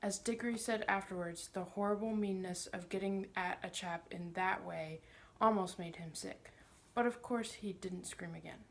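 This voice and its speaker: A woman's voice: medium pitch, moderate pace. A woman narrating a children's novel, reading it aloud as a storybook reader.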